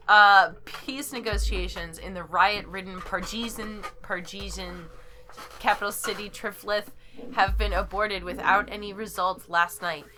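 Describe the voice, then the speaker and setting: A woman's voice: mid-range voice, sharp tone. A woman hosting a gaming video, reading game text aloud and chatting in a playful way, sometimes stumbling over the words. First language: English. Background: game music.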